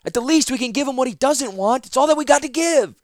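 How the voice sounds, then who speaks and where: A man voicing a frightened, self-doubting woman warrior who shouts her fears in a storybook reading. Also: very high-pitched, expressive, fast talker, no echo, studio quality, pitched above the performer's own voice. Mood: anguished. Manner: shouting, anguished.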